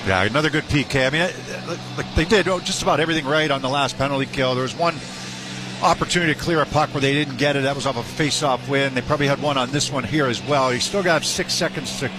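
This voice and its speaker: A man with a mid-pitched voice gives conversational hockey analysis as a radio color commentator. Very loud background sound runs behind him. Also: quick pace, music in the background.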